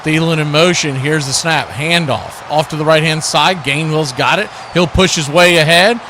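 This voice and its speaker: A man's voice: fairly high pitch, normal speed, background noise. A man calling live football play-by-play as host, in clipped, telegraphic phrases. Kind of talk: live sports play-by-play commentary.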